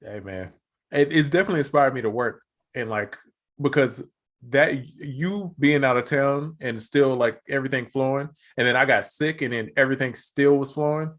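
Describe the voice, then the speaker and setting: A hoarse man co-hosting a podcast, chatting casually with easygoing slang. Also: mid-range voice, normal speed, fairly bright tone. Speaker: podcast co-host. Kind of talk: casual chat.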